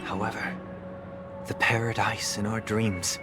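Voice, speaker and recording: An actor playing a composed, authoritative young man in a video game drama, speaking formally with measured, assured persuasion. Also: fairly low pitch, level tone, lightly acted. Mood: coolly insistent. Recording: loud music under the voice.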